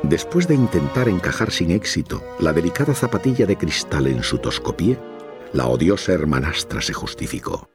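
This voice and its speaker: A man's voice: sits low, very animated, normal speed. A man narrating a children's fairy tale from a script, as a storyteller. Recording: music behind the voice.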